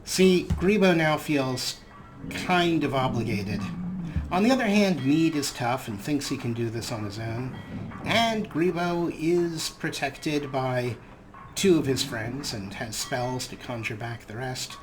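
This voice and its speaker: Let's play host, male, mid-pitched, wry and deliberating, clear game noise behind him. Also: animated, slow pace, poor audio. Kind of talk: gaming commentary in third person about his character.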